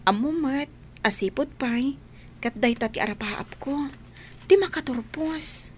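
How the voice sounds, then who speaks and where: With faint noise behind her, an actress plays a young village woman in a radio drama. Her voice is high, and she is an earnest, dutiful daughter who talks in a reassuring way. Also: unhurried. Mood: earnest, resigned. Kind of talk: Ilocano radio drama acting.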